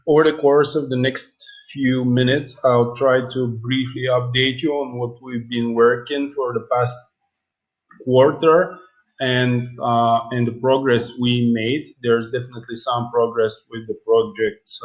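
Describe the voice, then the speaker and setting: Halting man, dull lo-fi audio. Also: medium pitch, little pitch movement. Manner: hesitant, halting delivery with long pauses. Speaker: company presenter. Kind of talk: business presentation on an earnings call.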